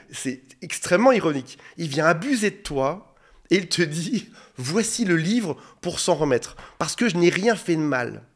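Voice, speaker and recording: A man in his forties with a head cold and a slightly hoarse voice, speaking as a relationship coach in an earnest, direct monologue to the camera. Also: high voice. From France. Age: 40s. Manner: earnest, direct, addresses viewer informally. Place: indoor studio under bright lights. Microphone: facing a camera.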